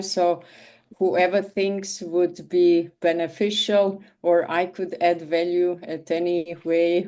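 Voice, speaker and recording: Slow, smooth-voiced woman in her fifties. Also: lowish voice, a little mellow. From Switzerland. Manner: hesitant, many fillers and restarts. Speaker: leadership coach and consultant. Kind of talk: online call self-introduction. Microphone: video call.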